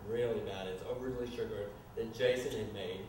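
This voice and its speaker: A man narrating stage directions at a live staged reading in an informal, halting way. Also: fairly low pitch, fairly even intonation. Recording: echoey, noisy room from far off.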